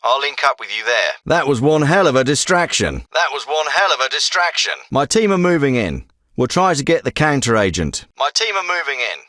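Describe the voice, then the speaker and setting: A man's voice: mid-range voice, very animated, brisk, pushed and bright, dry recording, studio quality. An actor playing a commanding male special forces team leader in a video game, with firm, clipped, businesslike military delivery. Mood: brisk, serious. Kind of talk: scripted military radio dialogue.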